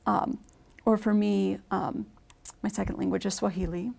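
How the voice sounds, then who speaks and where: A woman's voice: medium pitch, little pitch movement, moderate pace, gravelly, darkish tone. A woman speaking on a radio-style panel discussion, chatty and enthusiastic, often restarting her sentences.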